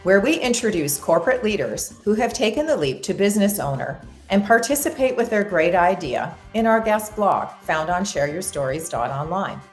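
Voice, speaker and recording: A woman's voice: mid-range voice, very animated, moderate pace, hardly any pauses, roomy sound, soft background music. A woman hosting an interview show, reading introductions and encouraging her guest. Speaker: show host. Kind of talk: hosted interview.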